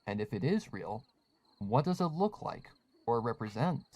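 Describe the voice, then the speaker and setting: A man narrates a written story in the third person. His voice sits at an ordinary middle pitch, and he delivers the prose as scripted storytelling. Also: sing-song, moderate pace, darkish tone, no echo, lo-fi sound. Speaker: fan fiction narrator.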